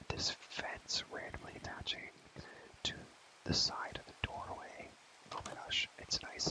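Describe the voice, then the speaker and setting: Young male ASMR creator for gaming commentary. Whispers throughout, rambles casually in slang, softly repeats himself. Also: low-quality recording.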